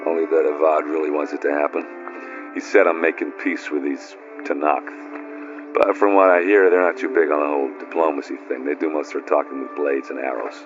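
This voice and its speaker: Casting: gruffly affectionate game warrior, very deep, halting and colloquial; dull audio under music. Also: male voice, steady tone. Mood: grim warning. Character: rough, hard-drinking, loyal warrior man, gruffly affectionate. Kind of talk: video game voice acting.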